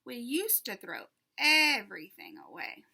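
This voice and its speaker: Animated, bright-voiced woman reading a storybook. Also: a little high, measured pace, vocal fry, breathy edge. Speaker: teacher reading a children's book aloud. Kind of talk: expressive children's storybook read-aloud.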